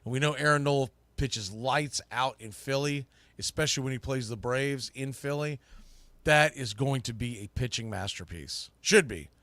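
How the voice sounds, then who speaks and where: Bright, punchy American sports host. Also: male voice, medium pitch, moderate pace, dry recording. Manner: emphatic, opinionated, folksy. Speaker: baseball podcast host and broadcaster. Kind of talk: off-the-cuff sports commentary.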